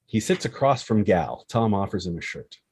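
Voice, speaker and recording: Low, husky man narrating a screenplay. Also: very animated, normal speed, a little mellow. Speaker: stage-direction narrator.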